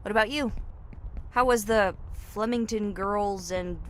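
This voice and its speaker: A woman acts a timid young maid in a story-driven game. Her voice is mid-pitched and soft-spoken, cautious and a little wistful. Light ambient noise lies underneath. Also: unhurried, lightly acted. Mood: wistful, curious. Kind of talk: video game cutscene acting.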